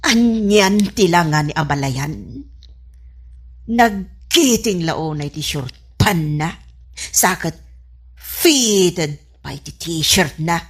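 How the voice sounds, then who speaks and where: Nosy scolding in-law, slow, lively pitch. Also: female voice, slightly rough, full character voice. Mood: disapproving, mocking. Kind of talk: Ilocano radio comedy drama acting.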